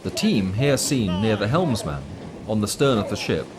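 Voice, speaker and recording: A man reading a scripted documentary commentary in a formal, measured way. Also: on the low side, expressive, noisy background. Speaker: narrator.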